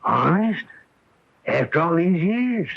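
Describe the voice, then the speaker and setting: A dulled vintage radio broadcast brings a folksy hardware store helper. He is curious and plain-spoken. The voice is animated and casual, in old radio drama manner. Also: on the high side, takes it slowly, vocal fry, highs cut off, exaggerated voice. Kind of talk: 1980 radio drama acting.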